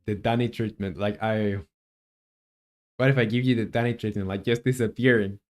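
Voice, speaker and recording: A man's voice: fairly low pitch, fairly even intonation, normal speed. A man who develops a game on a live stream, muses aloud while he codes and chats with viewers. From Chile.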